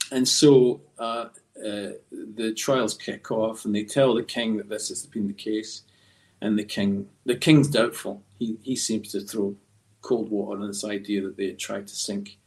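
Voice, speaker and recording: A man talking as a guest artist on a podcast, telling stories in a halting, reflective, discursive way. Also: fairly low pitch, steady tone, rough recording. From Scotland. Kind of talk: conversational storytelling in a podcast interview.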